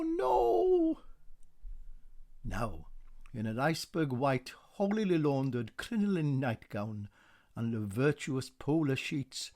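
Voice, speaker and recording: A man narrates a poetic radio play in a theatrical style. He is slow and deliberate, with a mid-range voice and very animated intonation. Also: mid-range voice, no echo. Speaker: narrator, solo reader. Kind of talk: dramatic poetic scripted narration.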